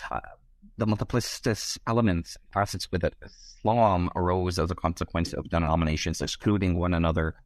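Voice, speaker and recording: A man's voice: low-pitched, no room sound. A middle-aged man from Egypt, a scholar being interviewed, speaking in wordy, hesitant academic phrasing.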